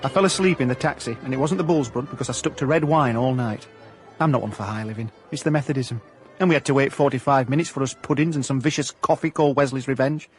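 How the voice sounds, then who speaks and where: Deadpan Yorkshire ex-miner detective played by an actor. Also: male voice, mid-range voice, quick pace, faint music behind, natural voice. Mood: wry narrating. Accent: South Yorkshire. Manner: deadpan, dry. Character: hapless ex-miner turned amateur private detective, deadpan. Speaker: lead actor and narrator. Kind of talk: radio comedy drama.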